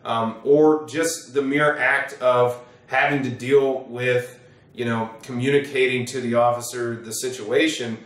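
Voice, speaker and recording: A middle-aged American man, an attorney explaining to camera in a measured, wordy way in an office. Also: mid-range voice, steady tone. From United States. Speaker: attorney, presenter. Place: small wood-panelled office, indoors. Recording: roomy, echoing sound from a distance.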